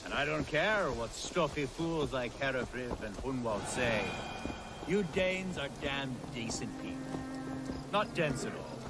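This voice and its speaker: A man's voice: medium pitch, lively intonation, a little slow, loud music behind the voice. An actor playing a nervous, chatty, rambling Anglo-Saxon nobleman in a video game cutscene. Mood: awkward chatty. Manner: rambling, fussy, flustered, effusive.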